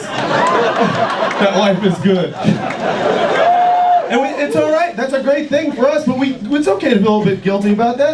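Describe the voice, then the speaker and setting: A man in his late twenties doing a stand-up set in a comedy club, chatty and riffing with the crowd. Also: very high voice. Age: late 20s. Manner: conversational, riffing, crowd-work. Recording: roomy club from a distance, crowd laughter. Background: audience laughter.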